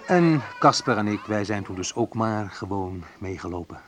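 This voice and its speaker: A man's voice: lowish voice, lively intonation, moderate pace, crowd noise, noisy background, lightly acted. An actor playing a wry, sensitive young man who recalls his student love life in an intimate first-person radio play monologue. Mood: wry, reminiscing.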